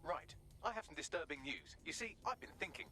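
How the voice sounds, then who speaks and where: British AI assistant, high voice, animated intonation, sarcastic, glib, chatty, game character. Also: male voice, a little fast, slight background noise. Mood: wry, exasperated. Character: snarky, chatty male AI personal assistant. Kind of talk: comic game cutscene acting.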